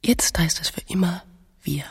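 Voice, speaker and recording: An actress playing a willful, teasing wife who is romantic and quick to jealousy, in a naturalistic radio drama. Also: female voice, fairly low pitch, gravelly, husky, whispered, in a large hall, exaggerated voice. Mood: teasing, insistent. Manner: insistent, teasing, pointed.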